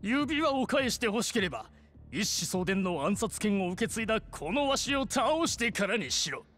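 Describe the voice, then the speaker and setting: Shifty pickpocket with swooping voice. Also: male voice, high-pitched, sing-song, moderate pace, fairly bright tone, faint music behind. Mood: boastful, menacing. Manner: theatrical, swinging from pompous to meek. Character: shifty cowardly male pickpocket, boastful then grovelling. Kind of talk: video game cutscene acting.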